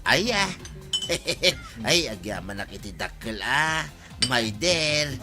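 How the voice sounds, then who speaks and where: Casting: male actor for flirty, hapless village husband, Ilocano accent, lively swings in pitch, music underneath. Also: slow. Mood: sweet, giggly. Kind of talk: audio drama acting.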